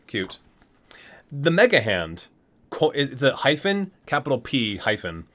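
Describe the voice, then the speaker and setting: A man reads aloud for ASMR in a medium-pitched voice with very lively intonation. He slips into casual asides and often trips on a word, then corrects himself. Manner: stumbles over words and corrects himself mid-reading. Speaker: ASMR video creator reading a game guidebook.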